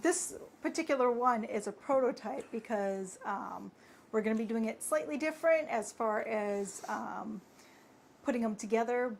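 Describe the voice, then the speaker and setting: Soft-voiced, giggly woman co-host. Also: gravelly, a little hiss or hum. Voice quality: low, soft voice that cannot project. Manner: giggly, hesitant, with many fillers. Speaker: co-host and craft seller. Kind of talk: casual live-stream chat.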